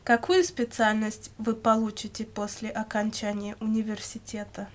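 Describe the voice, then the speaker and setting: A woman reading scripted language-course questions and answers in a measured, carefully enunciated way. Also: on the high side, flat delivery. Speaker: language lesson reader.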